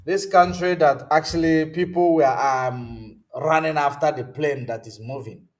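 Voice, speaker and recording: A man's voice: a little high, measured pace. A man hosting a political commentary livestream, rambling and answering viewer comments as he goes, often stopping to search for words. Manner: rambling, searches aloud for words with many ums. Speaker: host.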